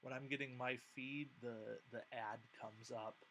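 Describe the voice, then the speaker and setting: A man's voice: mid-range voice, steady tone, a little slow, a bit bright, poor audio. A man hosting a tabletop miniatures game stream, talking casually and thinking aloud with hesitations as he goes. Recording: clear background noise.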